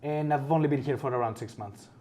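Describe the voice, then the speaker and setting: A man in his twenties talks easily during a speaking test. His mid-range voice sounds relaxed and friendly. He answers questions at a comfortable pace. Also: medium pitch, fast. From Greece. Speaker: graduate student, test candidate. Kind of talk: exam conversation.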